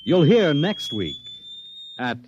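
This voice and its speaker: Slow, dramatic man announcing vintage radio. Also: on the high side, huge pitch swings, darkish tone. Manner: dramatic, deliberate. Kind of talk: dramatic old-time radio promo announcing. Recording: muffled, very noisy old radio broadcast.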